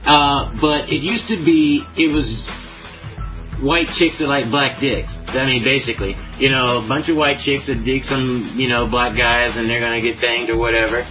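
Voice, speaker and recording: A man's voice: mid-range voice, fairly even intonation, moderate pace, muffled recording, soft background music. Laid-back, profane man guest. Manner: laid-back, profane, rambling with many fillers. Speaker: podcast guest, show promoter. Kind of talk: casual chat.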